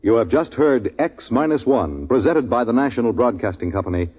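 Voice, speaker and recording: Tinny 1950s American radio announcer, animated man. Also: medium pitch, husky. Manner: formal, measured delivery. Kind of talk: 1950s network radio announcing, scripted credits.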